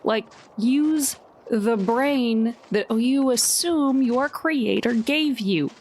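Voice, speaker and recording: A woman's voice: on the high side, extremely slow, a little mellow, slight background noise. A woman playing a defiant, sarcastic female agent in a tabletop game, talking back with scorn. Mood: scornful defiance. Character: mouthy, sarcastic female government agent, defiant under threat.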